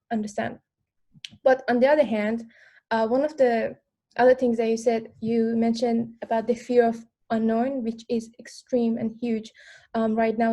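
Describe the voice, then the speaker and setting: A woman's voice: on the high side, dark tone. A young woman from Afghanistan, speaking English as a second language, talking earnestly on a panel with many fillers and restarts. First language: not English. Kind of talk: online panel conversation.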